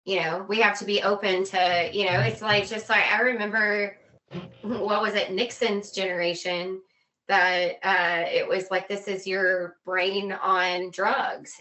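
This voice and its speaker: Middle-aged lady just chatting, bright punchy voice, earnest, repeats bits as she goes. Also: mid-range voice, poor audio. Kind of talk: casual interview chat.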